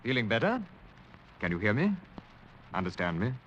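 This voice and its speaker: An actor playing an older, considerate hospital doctor, speaking with tactful hesitation in 1940s radio style. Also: male voice, lowish voice, huge pitch swings, normal speed, fairly bright tone. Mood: calm, concerned. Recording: dull, noisy old radio recording.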